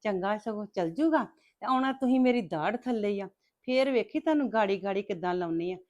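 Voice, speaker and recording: A woman's voice: medium pitch, animated, fairly quick, darkish tone, muffled recording, close to an everyday voice. A woman acting a scheming daughter-in-law who taunts her mother-in-law with sly, smug threats. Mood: taunting.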